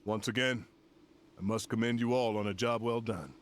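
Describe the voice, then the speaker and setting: An actor playing a dignified, courteous senior commander in a video game, speaking formally and with measure. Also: male voice, fairly low pitch, fairly even intonation, a little hiss or hum, close to an everyday voice. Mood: gracious, calm. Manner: formal, measured, stately praise. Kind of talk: video game cutscene acting.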